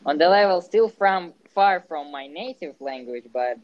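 A teenage man with a Russian accent, a student living abroad, speaking English hesitantly with many pauses and fillers. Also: fairly high pitch, animated, dull audio. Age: teens. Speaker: interviewee, exchange student. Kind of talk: casual conversation.

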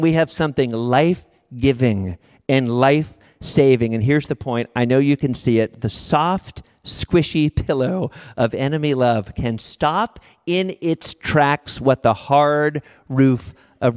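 A male pastor giving a sermon, earnest and building repeated images with slow, emphatic phrases. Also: medium pitch. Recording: long hall reverb.

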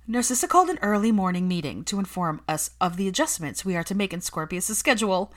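A woman voicing a giddy, chirpy young nanny witch in an audiobook, animated and perky. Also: medium pitch, fast talker, lightly acted. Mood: eager. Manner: animated, perky, sing-song with excitement. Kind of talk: audiobook character voice.

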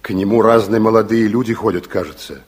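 Tired watchful chekist, low husky voice, grave fatherly questioning, old soviet radio play. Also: steady tone, fairly quick. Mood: probing. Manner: probing, repeats words back. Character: weary Soviet secret policeman, earnest, watchful, fatherly. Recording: muffled-edged 1960s radio drama recording.

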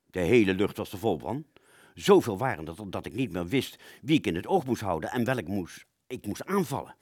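A man's voice: on the low side, darkish tone, dry recording, studio quality. A man playing a rambling, agitated wartime fighter pilot who relives battle in a stammering, dramatic radio play monologue.

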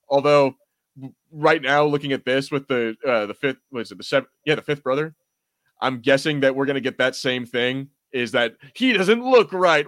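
A man's voice: mid-range voice, fairly bright tone, no room sound, studio quality. A man hosting a podcast in casual fan chat, talking in a rambling, enthusiastic way. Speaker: podcast host.

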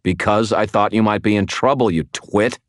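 A man voicing a hot-tempered, sarcastic wizard with a forceful, exasperated manner in an audiobook. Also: sits low, animated, normal speed, dry recording, studio quality, pitched above the performer's own voice.

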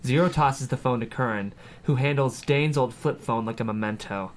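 Mid-pitched man reading stage directions. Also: moderate pace. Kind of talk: scripted narration at a screenplay table read.

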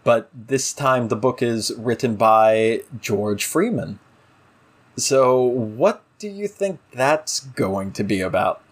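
A man's voice: medium pitch, lively intonation, takes it slowly, breathy edge. A man co-hosting a casual discussion show, giving opinionated, exasperated critique in a conversational way. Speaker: podcast co-host.

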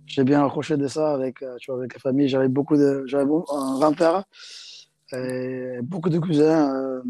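Give me a young man from South Africa, speaking hesitant French in a casual interview, with a mellow, dark tone. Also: mid-range voice, steady tone, moderate pace, dry recording. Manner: hesitant, many fillers and restarts in second-language French. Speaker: interviewee, rugby player.

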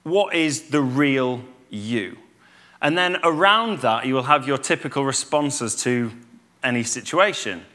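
A man, giving a calm, conversational church talk with fillers and restarts. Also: medium pitch, a little slow. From Yorkshire, England. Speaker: church speaker.

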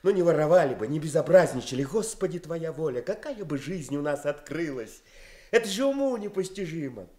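A good-natured, plain-spoken fellow in a radio play. His voice is rather high, and he muses in homely, colloquial speech. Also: sing-song, brisk, darkish tone. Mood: wistful, grumbling. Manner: folksy, musing, chuckling, colloquial. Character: plain-spoken, good-natured man puzzled by thieves' greed. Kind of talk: radio drama acting.

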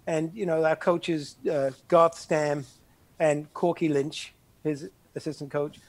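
An older man, a former soccer player recalling his college years in a halting, reflective interview. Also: on the high side, fairly even intonation, no echo. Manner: halting, many restarts and "you know".

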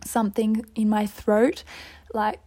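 A woman's voice: medium pitch, little pitch movement, takes it slowly, dark tone. A young woman hosting a solo podcast, earnest and upbeat, her throat a little dry. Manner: earnest, upbeat, with light laughs. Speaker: podcast host.

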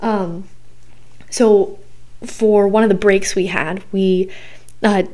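A young woman with a hoarse, worn-out voice, hosting a podcast in a rambling, chatty way full of fillers. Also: medium pitch, fairly even intonation, slow, warm tone. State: hoarse, recovering from losing her voice. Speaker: podcast host. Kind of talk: casual solo podcast chat.

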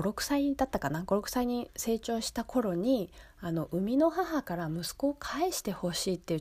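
A woman's voice: mid-range voice, no room sound. A woman hosting a radio show alone, chatting casually and conversationally straight to her listeners. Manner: conversational, listener-addressing, soft sentence-ending particles. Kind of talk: casual solo radio talk.

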